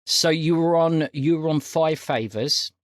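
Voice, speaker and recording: Unhurried woman game master, dry sound. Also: sits low, no room sound. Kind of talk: narrating a tabletop roleplaying game.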